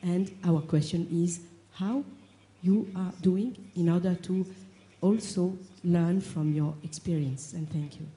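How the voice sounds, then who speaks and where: A woman's voice: fairly low pitch, steady tone, measured pace, warm tone. A woman from Tunisia asks the panel an earnest question from the floor, searching for words and repeating herself as she goes. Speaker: audience member asking a question.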